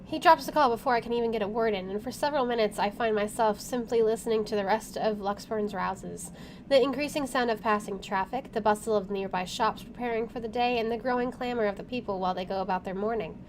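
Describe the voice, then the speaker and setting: A woman's voice: on the high side, quick pace, a little hiss or hum. A woman playing a visual novel, reading the story aloud and dropping in casual asides. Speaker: game player, channel host.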